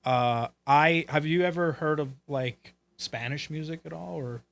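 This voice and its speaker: A man's voice: a little high, measured pace, gravelly. A man from Puerto Rico chatting casually as a talk show host, with loose, profane phrasing. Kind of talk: casual chat.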